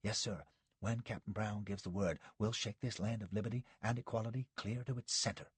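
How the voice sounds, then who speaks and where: A man's voice: lively intonation, moderate pace, breathy edge, no room sound. A man doing a fervent young frontiersman. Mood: fervent confiding. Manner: solemn, fervent, low-voiced confiding. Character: earnest young male frontier fighter, zealous.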